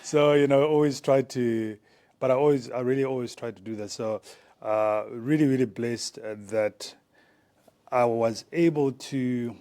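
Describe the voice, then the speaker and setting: A man's voice: mid-range voice, unhurried, warm tone. A man in his forties from Zimbabwe, a former rugby player, talking casually as an interview guest with many fillers and restarts.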